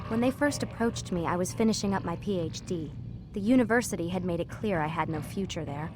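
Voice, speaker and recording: An actress playing a young scientist in a video game, earnest, weary and guarded, speaking in a confiding, halting way. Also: female voice, lowish voice, animated, music in the background, close to an everyday voice. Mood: reflective, confiding. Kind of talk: naturalistic game dialogue.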